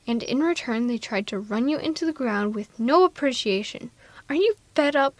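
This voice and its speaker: High-voiced, wry villainess played by a woman. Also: expressive, moderate pace, dry recording, natural voice. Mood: conspiratorial, goading. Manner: wry, persuasive, speaking directly to one listener. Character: self-confessed villainess, sardonic but protective, coaxing. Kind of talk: ASMR audio roleplay, intimate one-sided dialogue.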